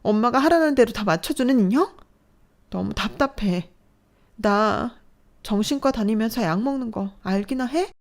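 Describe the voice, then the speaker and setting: A woman's voice: fairly high pitch, expressive, normal speed, voice pushed up. A woman voicing a fragile young adult daughter who speaks in a trembling, pleading, emotional way. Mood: upset.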